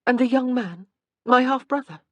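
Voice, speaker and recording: A woman's voice: high-pitched, normal speed, dry recording, voice pushed up. A woman voicing an earnest, dignified young woman teacher in an audiobook. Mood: anxious.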